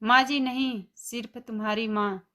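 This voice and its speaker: A woman playing a kind, indulgent mother-in-law in an animated family story, speaking gently and reassuringly. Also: fairly high pitch, measured pace. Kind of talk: animated moral story dialogue.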